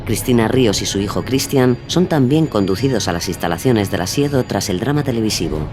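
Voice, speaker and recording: A man's voice: fairly low pitch, animated, a little fast. A man delivering scripted documentary narration as the narrator of an audio series. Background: soft music.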